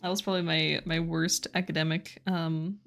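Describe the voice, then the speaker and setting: A woman's voice: low-pitched, flat delivery, normal speed, gravelly, fairly bright tone. Woman, young adult, streamer, casual chat, giggly, self-deprecating, faint music behind. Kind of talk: casual chat with viewers while drawing.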